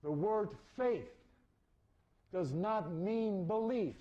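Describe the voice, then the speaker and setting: High-voiced, slow-paced male preacher. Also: muffled recording. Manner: emphatic, deliberate repetition of phrases. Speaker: spiritual preacher. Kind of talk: esoteric sermon.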